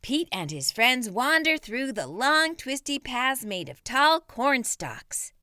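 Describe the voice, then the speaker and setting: A woman reading a children's picture book aloud, with a lively, expressive delivery. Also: high voice, slow, no echo, clean studio sound. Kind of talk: read-aloud storytelling.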